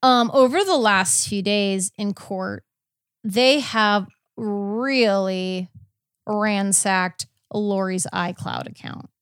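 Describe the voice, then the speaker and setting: Woman, podcast host, mid pitch, casual recap style, conversational explaining, very dry close sound. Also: takes it slowly, fairly bright tone, smooth, dry recording. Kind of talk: casual chat recapping a court case.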